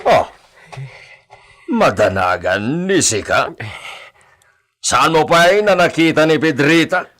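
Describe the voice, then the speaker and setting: A man playing an elderly, indulgent landowner father in a Filipino radio drama, speaking with a Filipino accent and measured fatherly delivery sprinkled with Spanish. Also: fairly high pitch, lively intonation, a bit bright. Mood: concerned, authoritative. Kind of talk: Ilocano radio drama acting.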